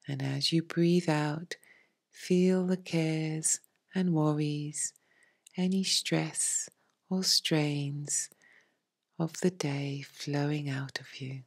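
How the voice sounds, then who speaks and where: Slow, low, breathy woman guiding meditation. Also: steady tone. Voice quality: soft, breathy, near-whispered. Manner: soothing, hushed, measured phrasing with long pauses. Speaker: yoga nidra meditation teacher. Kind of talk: guided meditation narration.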